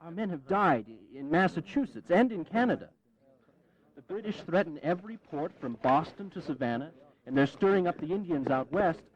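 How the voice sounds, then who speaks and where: A man's voice: a little high, expressive, moderate pace, close to an everyday voice. An actor playing an indignant, impatient, eloquent New England congressman in a historical audio drama, exasperated and declamatory. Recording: dull 1980s audio drama recording.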